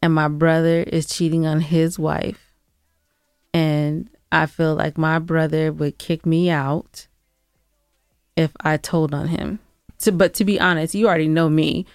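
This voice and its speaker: Female podcast co-host, smooth low-leaning voice, casual and conversational. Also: little pitch movement, unhurried, darkish tone, no room sound, studio quality, soft background music. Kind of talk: casual advice podcast chat.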